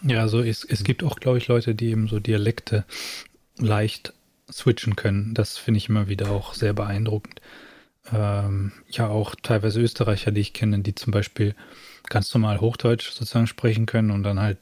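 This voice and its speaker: A man chatting casually as host, thoughtful and often pausing to search for words. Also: on the low side, little pitch movement, a little mellow, no room sound. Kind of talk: casual chat.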